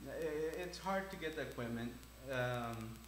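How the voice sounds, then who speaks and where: A man hosting a casual podcast chat, talking haltingly with many "uh"s and restarts. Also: medium pitch, a bit bright, soft background music. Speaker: podcast host. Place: community center music studio. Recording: echoey room with faint hum.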